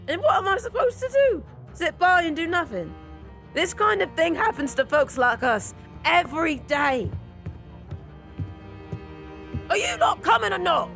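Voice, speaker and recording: A woman's voice: very high-pitched, big pitch swings, normal speed, fairly bright tone, soft background music, exaggerated voice. A woman playing a headstrong, bitter, angry street girl in an audio drama, speaking in a blunt, challenging way. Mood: defiant.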